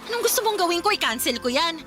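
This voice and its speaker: An indignant live-in girlfriend in a Filipino radio drama. Her voice is high and forceful, emphatic and argumentative as she insists on being the priority. Faint music sits behind her. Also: big pitch swings, fast talker, exaggerated voice.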